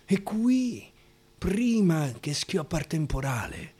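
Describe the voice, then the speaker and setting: A man with a Roman accent, an actor reciting a dialect verse story in an expressive, dramatic radio-theatre style. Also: sits high, takes it slowly, husky. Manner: expressive, dramatic, swinging from declaiming to hushed asides. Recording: guitar music under the voice. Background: acoustic guitar.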